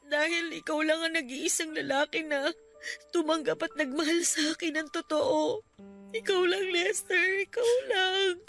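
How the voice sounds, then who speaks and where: A woman's voice: very high voice, fairly even intonation, measured pace, fairly bright tone, faint music behind, exaggerated voice. A woman acting as a devoted, guilt-ridden young sweetheart in a radio drama, with an earnest, plaintive delivery. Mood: tearful.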